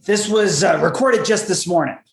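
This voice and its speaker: A man's voice: high voice, moderate pace, reverberant. A man acting a needy, insistent space lieutenant with a hesitant manner in an audio drama. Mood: hurt, insistent.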